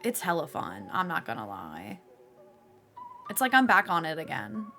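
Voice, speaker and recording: Chatty woman streamer, a bit raspy. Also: deep voice, huge pitch swings, normal speed, music in the background. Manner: chatty, laughs often, reacts to chat. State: slightly raspy voice. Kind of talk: casual live-stream chat.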